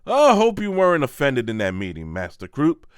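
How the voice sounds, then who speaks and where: A man voicing a suave, sly, easygoing knight in a fantasy manga dub, polite and smooth-talking. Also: medium pitch, huge pitch swings, moderate pace, dry recording, clean studio sound, voice pushed up. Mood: sly charm. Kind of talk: dramatic manga voice-over.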